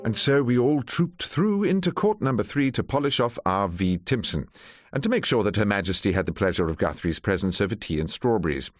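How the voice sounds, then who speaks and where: An actor playing a wry, sharp-witted ageing English defence barrister in a radio drama, droll and courtly. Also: male voice, on the low side, sing-song, fairly quick, few pauses, soft background music. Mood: wry narration. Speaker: lead character and narrator. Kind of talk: radio drama acting and narration.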